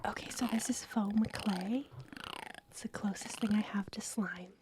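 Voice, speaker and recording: A woman's voice: medium pitch, fairly even intonation, unhurried, background noise. A woman co-hosting a podcast in casual, candid, slangy chat, sometimes dropping into a whisper. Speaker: podcast co-host.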